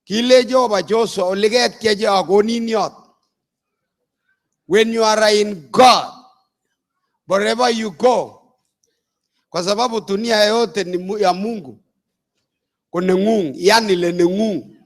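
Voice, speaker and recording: An older man from Uganda, an apostle, preaching a sermon in slow, deliberate phrases. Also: high voice.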